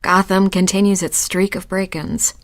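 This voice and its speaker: An actress playing a confident, probing newspaper reporter in a video game, with a knowing, wry conversational manner. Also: female voice, medium pitch, level tone, normal speed, lightly acted. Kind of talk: naturalistic video game voice acting.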